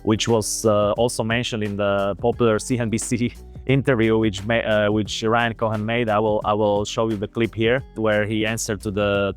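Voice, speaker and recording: A man with a Slovak accent, a native Slovak speaker, hosting an investment video in halting English. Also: lowish voice, clean tone. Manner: halting, many fillers and restarts. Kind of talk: casual video commentary.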